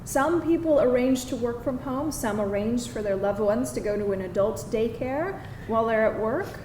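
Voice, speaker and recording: A woman's voice: on the high side, moderate pace, darkish tone, close to an everyday voice. A woman playing a kindly, patient fifty-year-old who offers reassurance, in a live screenplay table read. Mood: patient, encouraging. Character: patient, soft-spoken, kindly support-group member of fifty. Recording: roomy, distant live hall, faint noise.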